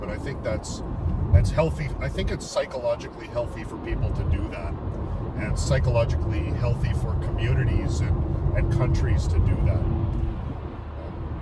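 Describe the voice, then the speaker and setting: A middle-aged Canadian man talks slowly and haltingly, filling pauses with ums and uhs. His lowish voice is heard from a little distance. Loud street traffic runs under everything he says. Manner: halting, many fillers and restarts. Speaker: vlog host. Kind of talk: casual vlog talk, outdoors. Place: outdoors near a road with cars. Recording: outdoor street recording with loud traffic noise. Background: loud traffic and street noise.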